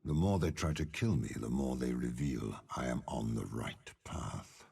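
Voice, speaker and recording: An actor playing a stern, scheming old general in a video game, with a gravelly, raspy voice and a commanding, deliberate, sardonic delivery. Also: male voice, very deep voice, animated, mellow, exaggerated voice. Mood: menacing, commanding. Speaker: game character. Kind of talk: dramatic game voice lines.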